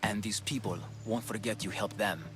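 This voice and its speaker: An actor playing a calm, grave sanctuary priest in a video game, speaking in a measured, solemn way. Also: male voice, on the low side, over music, natural voice. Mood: helpful. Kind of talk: video game dramatic dialogue.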